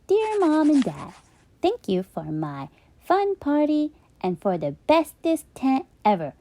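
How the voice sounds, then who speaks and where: A woman's voice: high-pitched, huge pitch swings, takes it slowly, dark tone, pitched above the performer's own voice, exaggerated voice. A woman voicing a cheerful little girl reading her thank you letters in a children's storybook, with a sing-song manner. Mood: cheerful, grateful. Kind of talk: children's storybook read-aloud.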